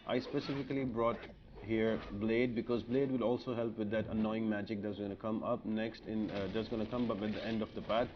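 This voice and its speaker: A man's voice: on the low side, flat delivery, fairly quick, dull audio, slight background noise. A man narrating a video game walkthrough as a guide, explaining tactics step by step.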